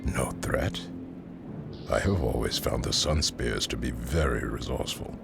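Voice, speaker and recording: A stern, shrewd general in a fantasy game. The voice is extremely low and gravelly, measured and skeptical. Music runs behind it. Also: male voice, exaggerated voice. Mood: stern, doubtful. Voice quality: very deep, gravelly. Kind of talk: dramatic video game cutscene acting.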